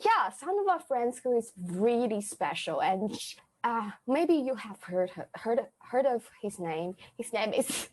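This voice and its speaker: Former royal maid and secret witch, played by a woman, earnest, halting, higher pitch. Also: very animated. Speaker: improv player. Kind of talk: improvised comedy scene.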